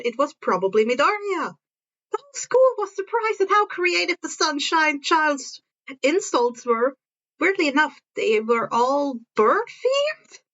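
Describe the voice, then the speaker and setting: A woman's voice: high-pitched, a bit bright, highs cut off. A woman narrating a fan story informally, sometimes stumbling over words and rereading them. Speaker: narrator reading fan fiction.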